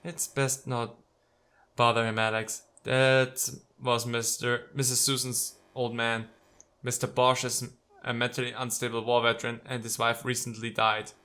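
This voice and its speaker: Bright-voiced man reading a game aloud. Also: mid-range voice, level tone, measured pace. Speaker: let's player. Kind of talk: reading visual novel text aloud with casual commentary.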